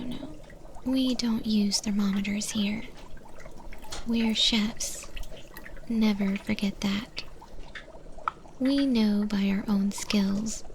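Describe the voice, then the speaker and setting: A confident female eldritch chef acted by a woman guides a human trainee in a soft ASMR whisper. Her delivery is very slow and instructive, at a medium pitch. Cooking sounds are clearly heard behind her. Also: a little hiss or hum. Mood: firm, correcting. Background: cooking sounds, liquid dripping.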